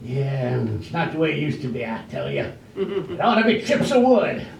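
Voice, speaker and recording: A man's voice: a little high, sing-song, normal speed, creaky. A man in an amateur stage play acting a proud, cranky, folksy townsman of seventy-seven who likes to grumble. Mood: crotchety, grumbling. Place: library room, staged performance. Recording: roomy, distant, noisy room recording.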